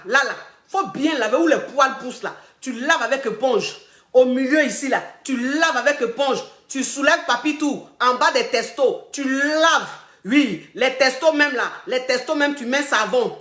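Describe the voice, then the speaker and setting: A woman with a slight head cold, giving coaching advice on a live stream, repeating herself and bursting out loudly on key points. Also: on the high side, echoey room. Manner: emphatic and repetitive, loud bursts on key points. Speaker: relationship coach.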